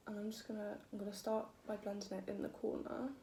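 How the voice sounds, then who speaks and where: A young woman with a croaky voice, chatting casually through a makeup tutorial and making fun of herself as she works. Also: medium pitch, monotone, fast talker, warm tone. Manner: chatty, self-deprecating, talks while working. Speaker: beauty vlogger. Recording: echoey room with faint noise.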